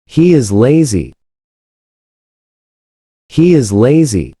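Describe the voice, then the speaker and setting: Pronunciation model, very slow delivery, lively intonation, each phrase said twice. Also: mid-range voice, husky, no echo, studio quality. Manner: says each short phrase twice, carefully.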